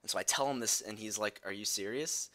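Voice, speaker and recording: Bright-voiced young man telling a story. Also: on the low side, a little fast. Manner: animated retelling, acts out dialogue. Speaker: storytelling video creator. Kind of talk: casual personal storytelling.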